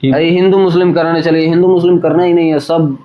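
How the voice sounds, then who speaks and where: A man with a Bhojpuri accent speaks in a fairly high voice as the guest in a casual gossip interview. He is emphatic and tends to repeat his phrases. The sound is dull and lo-fi. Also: fairly even intonation, quick pace, a crowd in the background.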